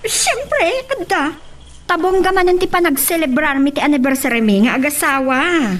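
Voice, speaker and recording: A woman's voice: sits high, expressive, moderate pace, a bit bright. A woman playing a boastful, showy rich wife in an Ilocano audio drama, with an Ilocano accent. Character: boastful rich wife flaunting her wealth. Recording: distant mic, faint noise.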